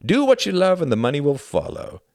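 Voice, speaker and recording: A man acts out a young German pilot in a storybook reading. He is boisterous, boastful and mocking, with a swaggering, emphatic delivery. The voice is pushed high and leaps about in pitch. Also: huge pitch swings, brisk, vocal fry, no echo, clean studio sound, exaggerated voice. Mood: cocky.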